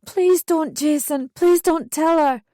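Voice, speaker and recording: A woman's voice: high voice, takes it slowly, dark tone, no echo, studio quality, pitched above the performer's own voice. A woman voicing a distraught teenage girl in an audiobook, urgent and pleading with a raised voice. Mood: desperate pleading. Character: distraught teenage sister, panicked and desperate.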